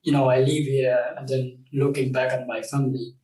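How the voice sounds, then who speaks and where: A man's voice: medium pitch, little pitch movement, warm tone, distant mic. Young man from South Sudan, roomy off-mic sound. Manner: halting, many fillers and restarts, laughs often. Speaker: guest, game company founder. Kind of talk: casual interview conversation.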